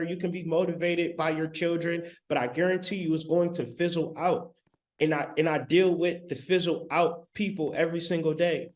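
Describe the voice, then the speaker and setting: A man giving a live-streamed spiritual lecture. He talks in an emphatic, repetitive, colloquial way, straight to his viewers, on a laptop mic. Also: on the high side. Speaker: spiritual teacher.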